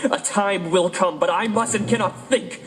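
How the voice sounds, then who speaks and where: A man's voice: high voice, moderate pace, a bit bright, slight background noise. A man playing a doomed scholar giving a dread-filled final account, portentous and faltering, in ornate radio-play narration. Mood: anguished.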